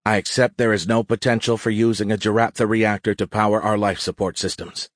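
A man voicing a calm, wry female colonel in an audiobook, with a dry, measured, authoritative delivery. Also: fairly low pitch, dry recording, natural voice. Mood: measured, probing.

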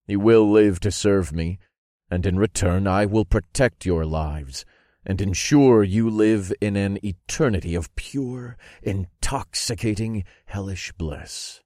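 Guy doing a triumphant dark lord for an audiobook, really deep, bossy and grand, dry sound. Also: takes it slowly, no room sound. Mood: triumphant, commanding. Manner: grandiose, commanding declarations. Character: triumphant commanding male dark lord, Satan reborn.

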